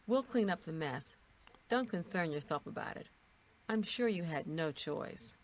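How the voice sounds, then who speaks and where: A brisk undercover town guardswoman from a fantasy video game. Her voice sits on the low side and stays measured and matter-of-fact. Faint ambient noise runs behind her lines. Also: lively intonation. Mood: dismissive, wry.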